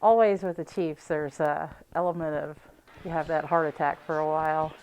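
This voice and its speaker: A woman speaking as an interviewed football fan, in a conversational, unhurried and reflective way. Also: low-pitched, fairly even intonation, darkish tone, smooth, low-quality recording.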